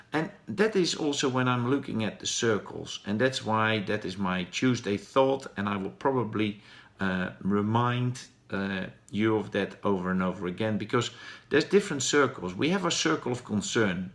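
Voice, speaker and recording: A man's voice: on the low side, a bit bright. Dutch-accented man in his forties. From Netherlands. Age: 40s. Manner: earnest, encouraging, with frequent ums and uhs. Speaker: vlogger. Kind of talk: daily live stream talk.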